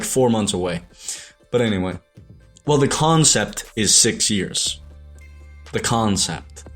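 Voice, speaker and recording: A young man from Ireland muses aloud in a slightly low voice, stumbling and restarting his sentences as he reflects. Soft music sits faintly underneath him. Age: young adult. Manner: hesitant, rambling, many restarts and self-corrections. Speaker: animator and show creator. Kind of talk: reflective monologue to camera.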